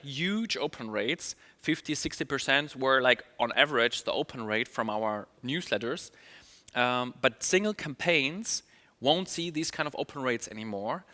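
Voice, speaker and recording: German-accented male trainer, upbeat presenter, bright voice, reverberant hall sound. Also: mid-range voice, animated, normal speed. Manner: upbeat, many fillers and restarts. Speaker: email marketing trainer. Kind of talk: online conference presentation.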